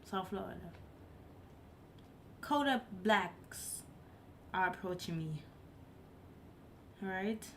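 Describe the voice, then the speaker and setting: A woman's voice: mid-range voice, expressive, takes it slowly, long pauses, a bit bright, slight background noise. A woman chatting casually on a live stream, speaking English as her third language and stressing her points by repeating them. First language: not English. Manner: emphatic, repeats herself for effect. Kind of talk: casual chat.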